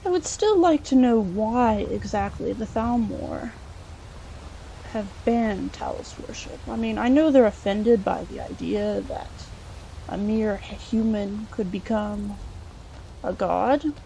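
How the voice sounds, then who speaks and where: A woman's voice: mid-range voice, slow, gravelly, warm tone, a little hiss or hum, rough recording. A woman playing an earnest, polite, inquisitive scholar adventurer in a video game, chatting and musing aloud with some hesitation. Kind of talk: improvised in-character let's play monologue.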